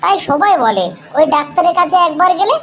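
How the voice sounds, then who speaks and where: A woman's voice: very high voice, animated, fast, fairly bright tone, slight background noise. A woman playing a naive, cheeky patient in a comic cartoon dialogue. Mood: earnest, naive.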